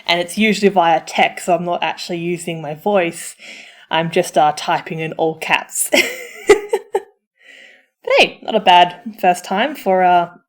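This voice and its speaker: Theatrical, encouraging woman, slightly low voice. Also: measured pace, pauses often. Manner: theatrical, encouraging, informal with swearing. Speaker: audio roleplay performer playing a supportive friend. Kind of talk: comforting roleplay, chatty pep talk to the listener.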